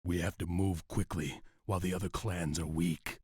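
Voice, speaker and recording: Husky, ruthless clan usurper. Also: male voice, low-pitched, steady tone, moderate pace, dry recording, clean studio sound. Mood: brisk, determined. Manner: forceful, scornful, commanding. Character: ambitious, scornful male clan usurper, impatient and ruthless. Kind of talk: video game cutscene acting.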